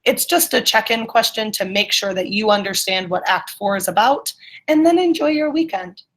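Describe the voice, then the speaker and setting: A woman's voice: mid-range voice, lively intonation, moderate pace, poor audio. A woman teacher leading an interactive online class, encouraging students and checking their understanding with questions. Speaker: middle school English teacher.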